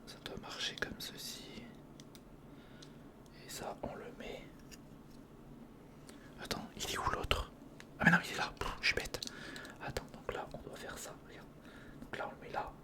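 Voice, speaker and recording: Guy whispering asmr right up on the mic, breathy, chill informal step by step walkthrough, kinda reassuring. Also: moderate pace, pauses often. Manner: informal, reassuring, talks listener through each step. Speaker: ASMR video creator. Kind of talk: whispered ASMR build-along. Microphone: close sensitive recorder microphone.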